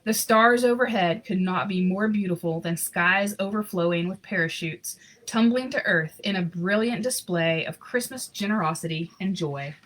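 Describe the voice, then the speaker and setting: A woman's voice: fairly low pitch, normal speed, few pauses, fairly bright tone, poor audio. Woman reading a picture book aloud. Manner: sentimental. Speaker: storybook reader. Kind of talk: read-aloud of a children's picture book with casual asides.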